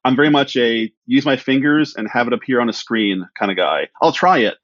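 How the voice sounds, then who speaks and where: A man with a medium-pitched voice talks casually as an interview guest, rambling in a self-deprecating way. The sound is close and dry, a little dull. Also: expressive, a little fast, a bit bright, dry recording. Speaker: podcast guest, writer.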